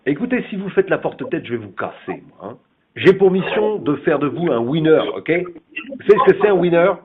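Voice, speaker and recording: A man's voice: fairly high pitch, sing-song, a little fast. A man voicing a pompous, bullying army captain who lectures sternly and condescendingly in a prank phone call. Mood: stern, menacing. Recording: tinny, narrow phone line.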